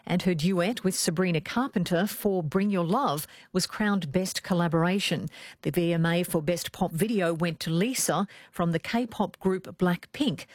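A woman reading a scripted news bulletin in a measured, even way. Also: fairly low pitch, dry recording, clean studio sound. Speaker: newsreader. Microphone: studio microphone.

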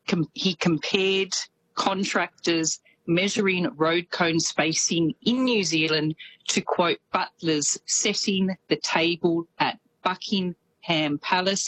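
A woman with an Australian accent, a New Zealand correspondent telling a news story in a lively, animated way. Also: sits low, husky, poor audio. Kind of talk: radio correspondent chat. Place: based in Dunedin, on a remote line to the studio.

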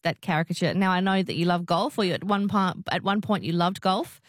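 A woman's voice: fairly low pitch, fairly even intonation, a little fast, no room sound, clean studio sound. Chatty woman hosting a radio quiz. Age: middle-aged. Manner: chatty, laughs often, friendly teasing. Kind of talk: casual radio quiz chat.

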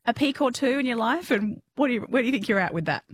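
Lively woman hosting a radio quiz. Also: fairly high pitch, fast, slightly rough, no echo, clean studio sound. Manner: chatty, laughs often, encouraging. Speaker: radio host.

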